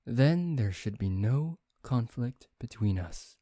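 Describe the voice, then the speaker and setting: A man playing a brooding, taunting vampire in an ASMR roleplay, speaking in a theatrical, deliberate, teasing way. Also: medium pitch, lively intonation, mellow, no echo, studio quality. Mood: cool, probing. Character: brooding, taunting male vampire bent on revenge. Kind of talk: ASMR roleplay, soft dramatic acting.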